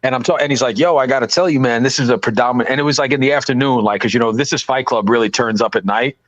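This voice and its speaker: A man's voice: mid-range voice, racing, no room sound, muffled recording. Man in his late thirties telling stories. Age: 30s. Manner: storytelling with loud punchlines. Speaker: podcast host. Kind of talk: casual banter.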